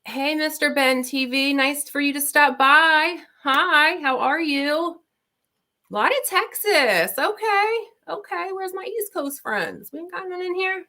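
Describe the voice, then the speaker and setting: A woman's voice: sits high, animated, a little slow, sharp tone. A woman in her thirties from Baltimore, chatting casually and rambling as she does her makeup on a livestream at her laptop. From Maryland, USA. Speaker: beauty vlogger. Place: home, at her desk with laptop. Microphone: laptop built-in mic.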